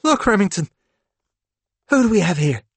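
A man voicing an excitable, scheming father with a coaxing manner in an audiobook story. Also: medium pitch, moderate pace, dry recording, pitched above the performer's own voice, natural voice. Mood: eager coaxing.

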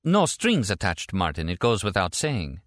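A man's voice: sits low, huge pitch swings, normal speed, a bit bright, no room sound, clean studio sound, full character voice. A man voicing a tender, teasing, anxious woman in an audiobook novel. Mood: hopeful, teasing. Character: frightened, teasing, tender American woman on a phone call.

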